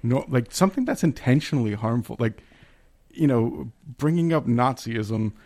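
A man's voice: medium pitch, lively intonation, moderate pace, warm tone. A man in a casual discussion, talking hesitantly with frequent restarts and self-deprecating asides. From Italian immigrant family background. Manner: hesitant, self-correcting, laughs at himself. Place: remote call.

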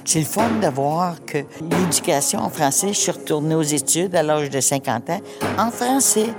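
A woman in her fifties speaks in a measured, earnest way about her working life. Music plays clearly beneath her voice. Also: low-pitched, expressive. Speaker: former federal employee. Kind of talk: vox pop interview. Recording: music bed under voice.

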